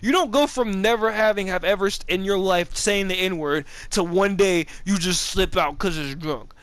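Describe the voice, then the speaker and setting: A man's voice: high-pitched, moderate pace, fairly bright tone, smooth, dry recording. A man of about twenty talking casually and profanely over gameplay, rambling with many restarts and slang. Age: 20s. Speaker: gaming video creator.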